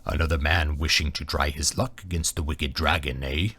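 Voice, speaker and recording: Booming, weary old dragon. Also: male voice, steady tone, moderate pace, pushed and bright, breathy edge, heavily acted. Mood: wry, wary. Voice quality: booming, deep. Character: huge old male dragon, weary, cranky, wry.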